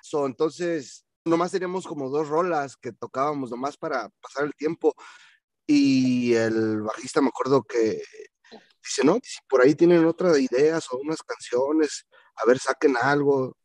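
A man talking on a video call, easygoing and slangy, dropping English phrases into his stories. His voice sits at an ordinary pitch and sounds dry and close, with no room around it. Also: unhurried, no room sound. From Mexico. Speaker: band vocalist, guest. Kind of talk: casual storytelling in an interview.

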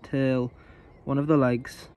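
A man's voice: mid-range voice, level tone, slow, darkish tone, low-quality recording. A young man from England, a fossil hunter, narrating a casual vlog on a rocky beach and explaining his finds with enthusiasm. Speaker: fossil hunter, channel host.

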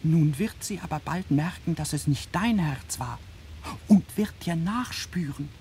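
Tiny kindly fairy-tale king, very lively intonation, slow, confiding, radio play, faint background noise. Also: deep voice. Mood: urgent. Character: tiny kindly February day-king, youngest of twenty-eight brothers. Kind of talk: German radio play acting.

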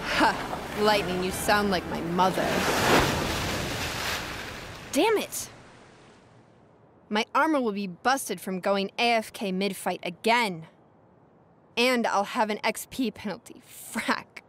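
Weary, slow-talking sardonic gamer. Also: female voice, mid-range voice, lively intonation, fairly bright tone, slight background noise. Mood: irritated. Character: weary, sardonic young woman gamer and music producer. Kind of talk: motion comic voice acting.

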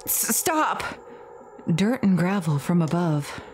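Woman acting as frightened teen schoolboy, horror game, panicky broken phrases, slow, noisy background. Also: lowish voice, expressive, a little mellow, pitched below the performer's own voice. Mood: panicked, strained. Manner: halting, panicky, gasping phrases.

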